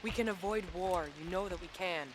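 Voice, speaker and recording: A woman's voice: on the low side, brisk, background noise, lightly acted. An actress playing a determined, guarded rebel woman soldier in a video game cutscene, speaking earnestly and with command. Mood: firm, persuasive. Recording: loud music bed.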